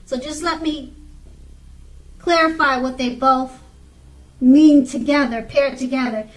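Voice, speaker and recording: A woman giving an unscripted tarot card reading in a halting way, pausing and repeating her phrases. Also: high-pitched, fairly even intonation, low-quality recording. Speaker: tarot reader.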